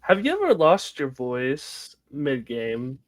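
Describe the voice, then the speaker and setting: Slow-talking man asking questions. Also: medium pitch, wildly swooping pitch. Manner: short direct questions. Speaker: interviewer, podcast host. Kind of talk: interview questions.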